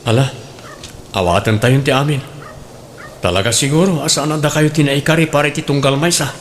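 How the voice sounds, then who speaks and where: A man's voice: a little high, a little fast, a little hiss or hum, close to an everyday voice. A man with an Ilocano accent playing a frank adult son in a comedic radio drama. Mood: matter-of-fact. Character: adult son, frank and casually matter-of-fact.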